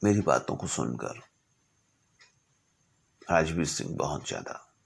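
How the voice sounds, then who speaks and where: A man telling a story reflectively in a didactic way, with measured delivery and deliberate pauses. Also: rumbling low, big pitch swings, gravelly. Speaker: storyteller and speaker. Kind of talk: reflective storytelling, reciting a letter from memory with asides.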